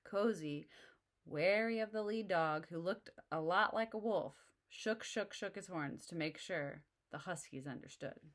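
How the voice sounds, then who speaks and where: A woman reading a children's picture book aloud, pausing to explain the story to young listeners in a friendly way. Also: lowish voice.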